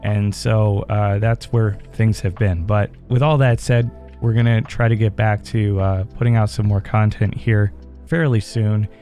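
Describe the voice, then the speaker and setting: A man hosting his gaming channel, talking in a relaxed, rambling way with fillers, his voice still strained from a head cold. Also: lowish voice, a little slow, soft background music. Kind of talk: casual gameplay commentary.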